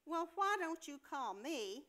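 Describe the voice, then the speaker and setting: Woman playing a sharp, bossy, high-pitched nurse. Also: big pitch swings, measured pace, exaggerated voice. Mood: scolding, dismissive. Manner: scolding, sarcastic. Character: bossy, scornful, impatient hospital nurse, a woman. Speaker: amateur actor in a staged reading. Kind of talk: staged comedy play reading.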